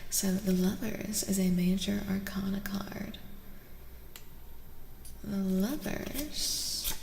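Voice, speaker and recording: A woman streamer speaking in soft, soothing ASMR, drifting between a breathy whisper and a hushed voice, close to the microphone. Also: mid-range voice, takes it slowly, reverberant, slight background noise. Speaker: streamer hosting a live tarot reading.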